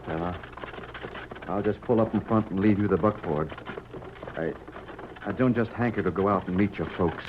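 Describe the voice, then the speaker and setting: Low-voiced dejected Western cowhand, played by a man. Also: background noise, lightly acted. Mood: downcast, resigned. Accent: American Western drawl. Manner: folksy, halting. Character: dejected cowhand branded a coward, bitter and self-loathing. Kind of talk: 1940s radio Western acting. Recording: muffled old radio recording, background din.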